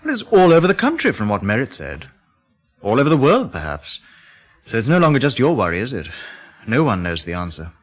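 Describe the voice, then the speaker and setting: An actor playing a genial but uneasy painter from a remote farm, chatting expressively in a radio drama. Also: male voice, mid-range voice, fairly quick. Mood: concerned. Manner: conversational, expressive storytelling.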